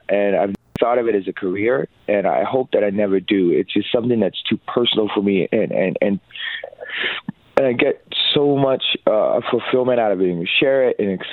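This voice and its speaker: Musician guest, man in his 30s from Hawaii, earnest and upbeat, lower voice, dry tinny phone line. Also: no echo. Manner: earnest, upbeat, lots of fillers. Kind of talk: casual interview.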